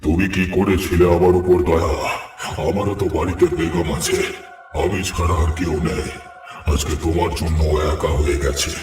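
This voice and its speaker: A man's voice: low voice, steady tone, normal speed, noisy background, close to an everyday voice. A man voicing the solemn, menacing ghost of a murdered villager, out for vengeance, in a melodramatic cartoon. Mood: menacing, bitter. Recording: heavy echo, distant voice, clear music.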